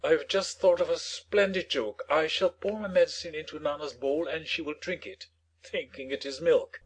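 Man playing a blustering, husky-voiced storybook father. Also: on the high side, normal speed, low-quality recording. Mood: wheedling. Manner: theatrical, indignant, emphatic. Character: blustering, self-pitying father, petulant and pompous. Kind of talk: dramatized storybook reading.

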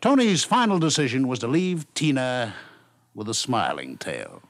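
A man's voice: mid-range voice, big pitch swings, moderate pace, heavy background noise. A man reading scripted storytelling narration in the manner of 1950s radio. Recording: dry 1950s radio studio recording.

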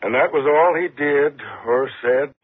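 An actor playing a skeptical prison warden in a 1950s radio drama, firm and questioning. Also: male voice, fairly high pitch, measured pace, slightly rough. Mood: probing. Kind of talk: 1950s radio suspense acting. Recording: muffled, noisy 1950s radio recording.

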